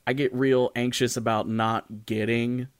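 A man's voice: mid-range voice, level tone, measured pace, smooth, dry recording. A man co-hosting a podcast, talking informally and thinking aloud in a casual discussion. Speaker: podcast co-host. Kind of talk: casual discussion of storytelling in audio and stage.

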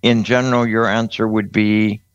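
A man's voice: lowish voice, little pitch movement, moderate pace, clean tone, no room sound, lo-fi sound. An older man hosting a call-in discussion, courteous and hesitant, often repeating words. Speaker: discussion host.

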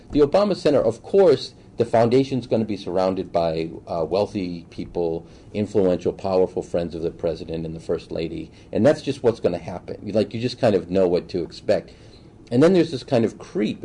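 Guy in his 40s doing an interview, deep creaky voice, halting and thoughtful, bit of noise behind. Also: dark tone. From Boston. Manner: halting, thoughtful, often pausing mid-sentence. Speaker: political candidate being interviewed. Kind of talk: conversational interview answers. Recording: faint background noise, room ambience.

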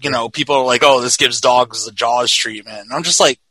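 A forty-year-old man hosts a casual film chat. His voice is bright and punchy, with an emphatic, foul-mouthed way of talking. The sound is dry and close. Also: medium pitch, brisk, husky, no echo. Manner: emphatic, profane. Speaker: podcast host.

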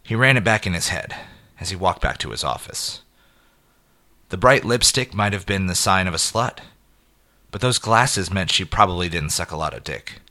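Low, bright-voiced male story narrator. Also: animated, normal speed. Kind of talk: scripted narration of short fiction.

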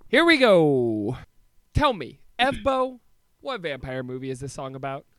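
Animated, high-pitched man chatting and joking. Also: a little slow, no echo, clean studio sound. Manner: jokey, laughs often while talking. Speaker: podcast co-host. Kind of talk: casual comedic chat.

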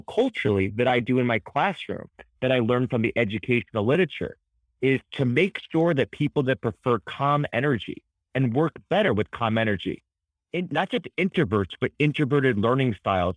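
A high-energy male expert guest with a mid-range voice and a dry, close sound. He explains concepts conversationally, articulate and emphatic, like an educator in an interview. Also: no echo, poor audio. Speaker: podcast guest, author and psychology educator.

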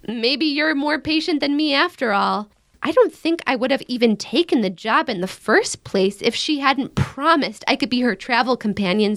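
An actress playing an outspoken, wisecracking young American elder sister in a naturalistic modern audio drama, with blunt, casual banter. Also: female voice, high voice, fairly bright tone, no echo, clean studio sound. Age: young adult.

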